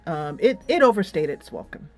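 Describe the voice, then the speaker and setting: A middle-aged woman giving a casual, giggly video game review, animated and emphatic, drawing out words for effect. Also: deep voice, normal speed, soft background music.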